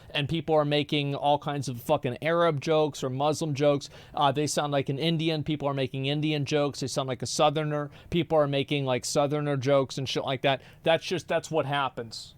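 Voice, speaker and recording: A man rambles through his reactions on a live stream, swearing freely and stumbling over repeated words. His voice sits a bit high. The sound is dry and close. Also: steady tone, moderate pace, hardly any pauses, clear, resonant tone, no echo. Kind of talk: casual live-stream commentary.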